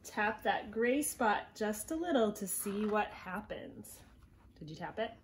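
A woman in her thirties reading a picture book aloud to young children at home, gently encouraging them and prompting with questions. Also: medium pitch, lively intonation, unhurried, slight background noise. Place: small living room at home, indoors.

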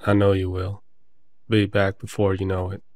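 A man's voice: deep voice, completely flat delivery, moderate pace, a little mellow, no room sound, lightly acted. A man playing a protective, reassuring werewolf in an audio drama, speaking fondly. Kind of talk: roleplay audio drama acting.

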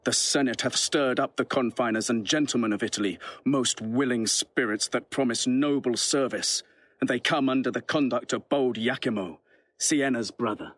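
An actor playing a dutiful Roman captain in a Shakespearean audio drama, reporting in formal, declamatory verse. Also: male voice, mid-range voice, moderate pace, a bit bright, dry recording, natural voice. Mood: brisk, dutiful.

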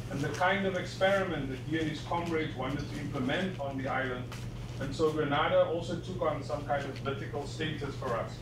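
Man from south africa, film screening host, projecting without a mic, off-the-cuff talk, roomy hall, heard from afar. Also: a little high. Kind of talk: casual talk. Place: large lecture hall. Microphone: far room mic. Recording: echoey lecture hall, far from mic, noisy.

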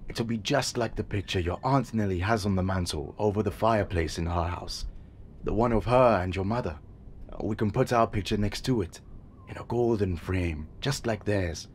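A man voices a tender father in an audio drama, coaxing his daughter in a soft, patient way. His voice is very low, with faint ambience behind it. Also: normal speed, slight background noise, close to an everyday voice.

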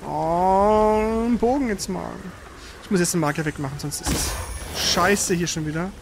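A high-voiced man comments live on the video game he is playing. He talks casually to a co-player, dropping exclamations, curses and grumbles, with clear noise behind him. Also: normal speed. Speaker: gaming streamer playing and commenting.

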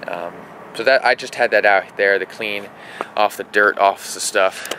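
A young man giving a casual how-to vlog while working in a workshop garage, relaxed and full of uh and um. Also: fairly low pitch, measured pace, slight background noise, poor audio. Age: young adult. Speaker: vlogger doing equipment maintenance. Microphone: action camera close up.

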